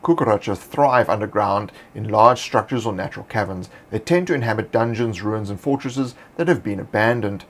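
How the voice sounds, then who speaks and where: A man hosting, reading fantasy monster lore in a measured, scripted narration. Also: lowish voice. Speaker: host.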